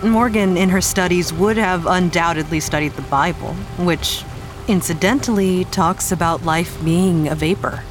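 An actress playing a reflective, gently teasing female adventurer in a naturalistic audio drama, hesitant and thoughtful. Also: lowish voice, moderate pace, music in the background, close to an everyday voice.

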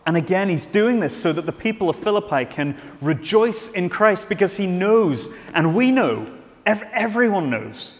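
A man's voice: high-pitched, big pitch swings, moderate pace. Earnest male preacher in a reverberant hall. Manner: earnest, with frequent restarts and fillers. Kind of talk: sermon.

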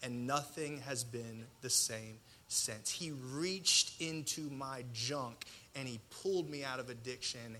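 Bright-voiced male preacher with a slight stutter. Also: medium pitch, slight background noise. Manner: colloquial, self-deprecating, dramatic pauses and emphasis. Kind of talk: personal testimony sermon to an audience.

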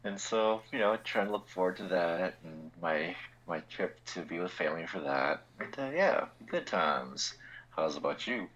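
A man in his forties co-hosting a podcast, laid-back and quick to giggle. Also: sits low, animated, a bit bright, muffled recording. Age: 40s. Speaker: podcast co-host. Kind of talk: casual podcast chat.

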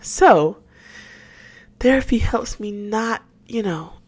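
A woman's voice: mid-range voice, expressive, slow pace, darkish tone, slightly rough. A nineteen-year-old woman chatting candidly on her own podcast, laughing easily and often trailing off and starting sentences over. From Atlanta, Georgia. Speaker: podcast host. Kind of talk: casual solo podcast chat.

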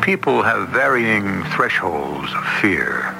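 A man hosting a radio drama with scripted, measured, suspenseful narration. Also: on the low side, sing-song, creaky, loud music behind the voice, rough recording. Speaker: host introducing a story.

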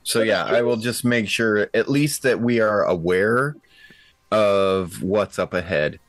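Deep-voiced man playing a tabletop game. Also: slow, no echo. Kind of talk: casual game chat. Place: remote online call.

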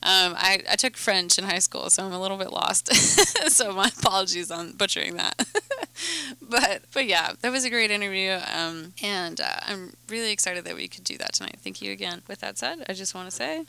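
A young woman hosting a podcast, chatting in a quick, giggly, rambling way. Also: mid-range voice, sharp tone, clear, resonant tone. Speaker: podcast host. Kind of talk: casual podcast chat.

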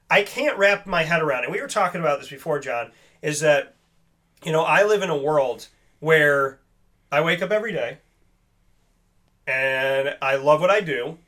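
A man's voice: a little high, pushed and bright. A man hosting a casual sports talk show, speaking earnestly and emphatically in a rambling, personal way.

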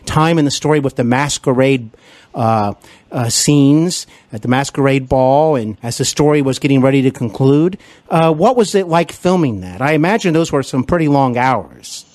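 A man's voice: mid-range voice, expressive, normal speed, a little mellow, no room sound. A man hosting an interview, asking long rambling questions full of fillers and restarts. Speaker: podcast interviewer and actor.

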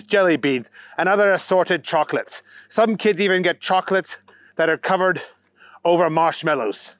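A man's voice: sits high, fairly bright tone, dry recording, studio quality, voice pushed up. A man voicing a fussy, pompous, indignant male Easter Bunny in a children's story, with prim and formal lecturing.

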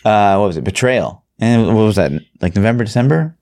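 A man's voice: on the low side, expressive, fairly quick, darkish tone. A man co-hosting a podcast, explaining things in a rambling, slangy, casual way with some swearing. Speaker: podcast co-host. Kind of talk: casual gaming chat.

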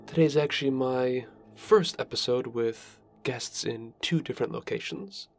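Creaky-voiced male podcast host. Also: medium pitch, moderate pace, dry recording, soft background music. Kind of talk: podcast introduction and interview.

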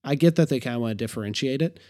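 A man's voice: mid-range voice, racing, warm tone. A man co-hosting a casual film discussion, analytical and opinionated as he talks. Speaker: movie discussion co-host. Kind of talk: casual film review chat.

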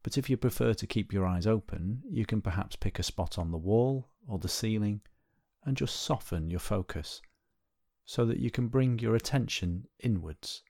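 A man gently leading a guided body scan meditation, giving unhurried instructions with pauses. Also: lowish voice, creaky, dry recording, clean studio sound.

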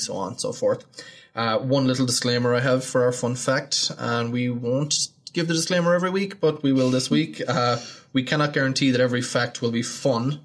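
A young Irish man from Galway chatting easily on a podcast, with a voice of middling pitch. He tells long anecdotes and slips into raised voices when he quotes other people. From Ireland. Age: 20s. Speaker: podcast co-host. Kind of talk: casual podcast chat.

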